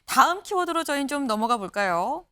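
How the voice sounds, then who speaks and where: A woman's voice: high voice, big pitch swings, a little fast. A woman news anchor hosting a morning news talk show, chatty and laughing with her guests. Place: TV studio.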